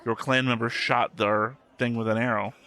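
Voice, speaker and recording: A man's voice: mid-range voice, level tone, normal speed, bright, forceful tone. A man hosting an anime reaction, talking bluntly and opinionatedly, swearing casually as he comments.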